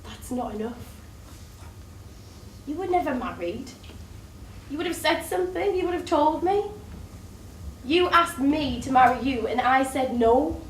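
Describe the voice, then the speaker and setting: Wounded sardonic lover, high voice, echoey. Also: female voice, lively intonation, a little slow, pauses often. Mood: shocked, challenging. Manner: incredulous, biting, sarcastic. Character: wounded, sardonic long-time lover fighting for her man. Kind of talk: theatrical stage play dialogue. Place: theatre stage, recorded from afar. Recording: distant, echoey, noisy stage recording.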